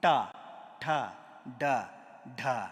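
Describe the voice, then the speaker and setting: A man with an Indian accent giving a lively lecture demonstration, quizzing the audience and sounding out syllables. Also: medium pitch, very slow. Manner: demonstrative, engages the audience with questions and spoken syllables. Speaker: music lecturer. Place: large auditorium. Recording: hall reverb.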